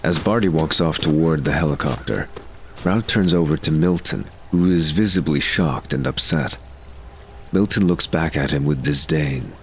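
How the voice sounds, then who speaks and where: A man's voice: a bass voice, moderate pace. A man reading scripted narration for an audio drama. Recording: clear background noise.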